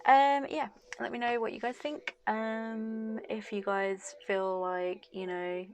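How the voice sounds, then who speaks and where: A woman vlogger chatting frankly to camera, laughing often and losing her voice a bit. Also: medium pitch. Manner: frank and chatty, with frequent laughs and "like, you know" fillers. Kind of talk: casual talking-to-camera vlog.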